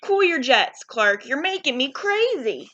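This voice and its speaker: Woman doing a fretful, high-pitched boy fish. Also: sing-song, unhurried, sharp tone, lo-fi sound, heavily acted. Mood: exasperated. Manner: exclaiming, fretful. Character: exasperated young boy mackerel, shark's best friend. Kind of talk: children's storybook character.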